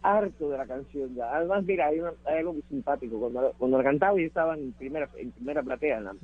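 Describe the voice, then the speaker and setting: A woman's voice: sits low, fast, breathy edge. A woman from Cuba with a Cuban accent, reminiscing as a guest in a radio interview. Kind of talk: casual radio interview chat. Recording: tinny phone line.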